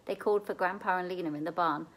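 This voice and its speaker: A woman reading a children's novel aloud in an expressive storytelling way, as at a library read-aloud. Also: fairly low pitch, fast.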